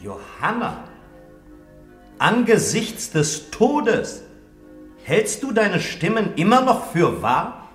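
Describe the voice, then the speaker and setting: An actor playing an earnest, anxious priest preparing a prisoner for death in a radio play. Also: male voice, high voice, huge pitch swings, slow, long pauses, a bit bright, exaggerated voice. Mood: anxious solemn. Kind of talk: German radio play acting. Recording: roomy, music behind.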